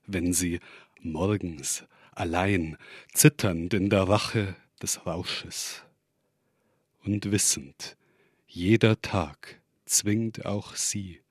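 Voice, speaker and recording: A man recites poetry in a fairly low voice. His pace is extremely slow, with each short phrase set apart and measured. The sound is dry, with hardly any room around it. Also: no room sound. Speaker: poet reading own work. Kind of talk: poetry reading.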